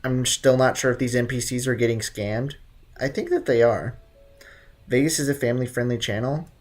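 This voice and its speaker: A man's voice: mid-range voice, normal speed, faint music behind. A man hosting a casual game livestream, commenting and reading chat with blunt, teasing banter. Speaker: livestream host.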